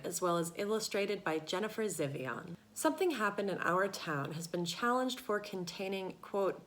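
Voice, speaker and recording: A woman's voice: mid-range voice, a bit bright. A woman announcer delivering a scripted introduction.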